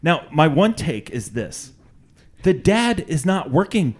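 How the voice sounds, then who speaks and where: A man's voice: a little high, slow, breathy edge. A man co-hosting a podcast, telling stories in casual chat. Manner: animated, tells stories with acted quotes. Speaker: podcast co-host.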